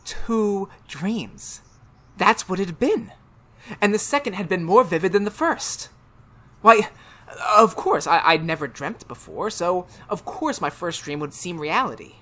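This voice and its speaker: A woman playing a tormented, haunted man in a 1930s-style radio horror drama, speaking melodramatically with halting, emphatic repetitions. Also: lowish voice, animated, fairly bright tone. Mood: uneasy reasoning.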